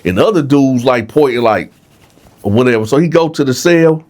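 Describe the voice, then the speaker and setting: A man's voice: mid-range voice, animated, moderate pace, darkish tone. A man with a congested, stuffed-up nose hosting his channel, telling a story casually to viewers and checking in with "right?". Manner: conversational, keeps asking "right?". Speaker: vlog host.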